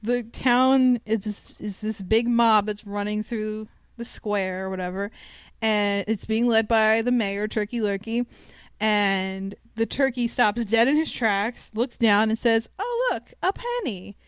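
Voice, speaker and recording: Crisp, higher-pitched woman, irreverent podcast chat. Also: a little slow, dry recording. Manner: irreverent, sweary, sarcastic retelling. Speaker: podcast co-host. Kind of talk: casual film recap chat.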